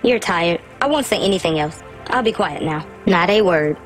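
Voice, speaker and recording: An actress voicing an eager, chatty young child who is anxious to please, in a video game. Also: female voice, on the low side, animated, moderate pace, soft background music, close to an everyday voice, low-quality recording. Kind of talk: video game character acting.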